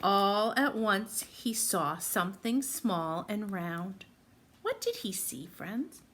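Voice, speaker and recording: A woman's voice: medium pitch, takes it slowly. A woman reading a picture book aloud expressively to young children, putting simple questions to her listeners. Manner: expressive, simple teacher-like phrasing addressed to children. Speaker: read-aloud storyteller for children.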